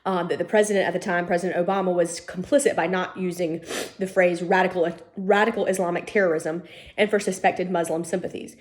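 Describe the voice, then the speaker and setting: A woman's voice: fairly low pitch, fairly even intonation, brisk. A woman lecturer with a cold and a sniffly voice, giving a recorded online class in a chatty, informal way with many "um"s. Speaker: college lecturer.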